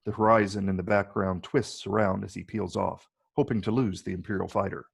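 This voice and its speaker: A man narrates screenplay action in a scripted reading. His voice is fairly low, with heavy gravel and a husky rasp. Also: steady tone, fairly quick. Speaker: narrator reading stage directions.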